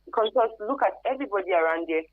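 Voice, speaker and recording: A woman's voice: mid-range voice, lively intonation, rapid-fire. A woman with a Nigerian accent explaining earnestly as an expert interview guest, repeating phrases for emphasis, on a phone-like radio recording. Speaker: expert guest, career advisor.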